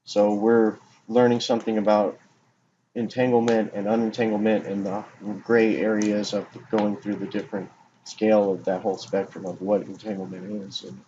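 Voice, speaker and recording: A man's voice: fairly low pitch, warm tone. A man in a casual spiritual discussion, rambling and circling back over his phrases as a co-host. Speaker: talk show co-host. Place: informal recording by a fire. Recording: muffled lo-fi, clear background noise.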